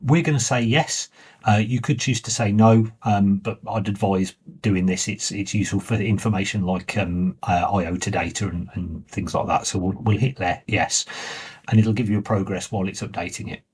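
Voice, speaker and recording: A man giving a plain, informal step-by-step walkthrough as the presenter of a software tutorial. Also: fairly low pitch, steady tone, normal speed, breathy edge. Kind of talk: step-by-step screen walkthrough narration.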